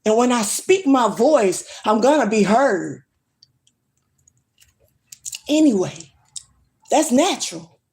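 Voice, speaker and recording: A woman's voice: a little high, expressive, takes it slowly, pauses often, darkish tone. Blunt, foul-mouthed woman venting on a livestream. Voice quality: strong voice. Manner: blunt, streetwise, swears freely. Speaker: livestream vlogger. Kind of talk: casual rant to camera.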